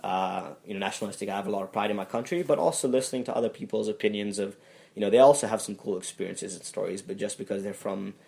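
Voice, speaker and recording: A man's voice: lowish voice, fast talker, vocal fry, dark tone. A young man from Tanzania, a student being interviewed, who talks thoughtfully with many fillers and restarts. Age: young adult. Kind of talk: casual interview.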